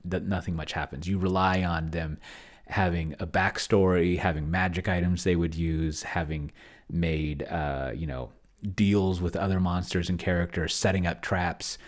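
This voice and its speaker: A man's voice: low voice, steady tone, moderate pace. A middle-aged man thinking aloud in an unscripted walkthrough, rambling and trailing off mid-thought, speaking close to a desk microphone. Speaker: video host. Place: indoor room at home, desk setup. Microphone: desk microphone close to the mouth.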